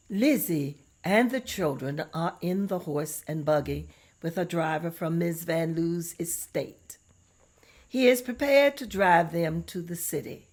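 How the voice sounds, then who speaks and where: A woman's voice: sits low, a little mellow. A woman reading stage directions aloud as the narrator of a table read.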